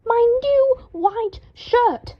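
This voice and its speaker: A woman's voice: very high-pitched, lively intonation, extremely slow, lo-fi sound, voice pushed up, full character voice. A woman voicing a fussy, short-tempered man for a story, wailing, snarling and swearing with indignation. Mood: shrieking outrage.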